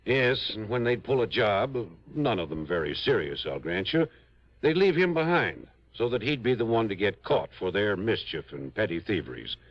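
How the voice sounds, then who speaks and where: An actor playing an earnest, articulate, worried small-town public defender in an old-time radio drama. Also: male voice, fairly low pitch, big pitch swings, normal speed, dry recording. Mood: earnest, sympathetic. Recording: dull vintage radio recording.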